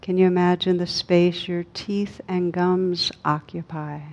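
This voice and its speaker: A woman leading a guided meditation as a teacher, speaking calmly and unhurriedly with long pauses. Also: fairly low pitch. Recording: dull, lo-fi recording.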